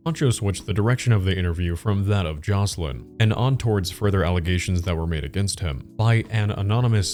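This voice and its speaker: A man's voice: sits low, a little mellow. A man narrating a scripted commentary with a stuffy, congested, nasal voice and a wry, disapproving manner.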